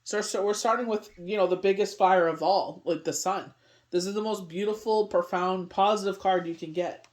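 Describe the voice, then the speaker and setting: A woman's voice: mid-range voice, moderate pace, darkish tone. Middle-aged Canadian woman, chatty tarot reader, rambles and leans on fillers. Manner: rambling, heavy on "you know what I mean". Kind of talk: casual daily tarot reading.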